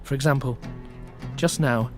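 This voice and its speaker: A man's voice: medium pitch, unhurried, darkish tone, lightly acted. An actor in a radio drama playing a polite, wry Englishman with an English accent and a courteous, understated manner. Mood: confiding, uneasy. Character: polite, wry Englishman caught up in wartime espionage. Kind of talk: radio drama acting with first-person narration. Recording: music, ship and outdoor ambience behind.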